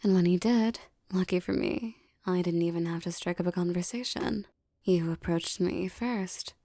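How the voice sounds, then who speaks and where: A woman playing a tough, doting young mafia boss girlfriend in an intimate ASMR romance roleplay, talking softly in tender, teasing street slang. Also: fairly low pitch, normal speed, dry recording, clean studio sound. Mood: fond, confident.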